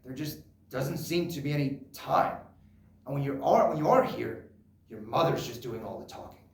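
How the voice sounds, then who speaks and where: A man playing a proud, stubborn father of nearly eighty in a solo stage drama, gruff and rambling as he brushes off concern. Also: medium pitch, lively intonation, moderate pace. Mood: lonely, complaining. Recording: roomy, echoing live recording.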